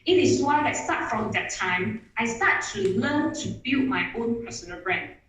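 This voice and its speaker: A woman with Mandarin as her gives an online presentation. Her voice sits a touch high and sounds off-mic, as if from across a room. Echo rings around every phrase, and she restarts her sentences eagerly. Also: lively intonation, unhurried, a bit bright. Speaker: webinar speaker. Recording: echoey room audio from a distance.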